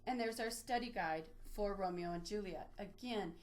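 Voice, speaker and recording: Woman doing a webinar walkthrough, mid pitched voice, explains stuff step by step like a tutorial. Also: moderate pace. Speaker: webinar presenter from a library. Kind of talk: instructional screen-share walkthrough.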